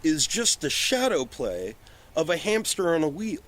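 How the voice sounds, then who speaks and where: A man's voice: a little high, big pitch swings, measured pace, a bit bright. A man talking casually and emphatically as a podcast guest, opinionated, prone to digressions and swearing. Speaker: podcast guest, film director. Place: outdoors on a porch.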